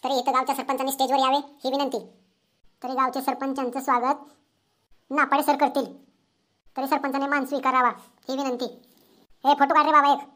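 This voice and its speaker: A woman voicing an eager young village character in a comedy cartoon, calling out excitedly. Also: high-pitched, brisk, long pauses. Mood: announcing.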